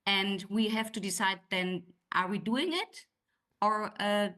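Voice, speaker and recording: Halting woman with a German accent. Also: medium pitch, pushed and bright. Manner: halting, searching for words with many restarts. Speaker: panelist. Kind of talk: panel discussion.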